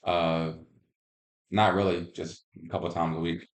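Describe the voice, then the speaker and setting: A man's voice: low-pitched, little pitch movement, moderate pace. A man answering health interview questions as a patient, in brief, plain replies. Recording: roomy, echoing voice pickup.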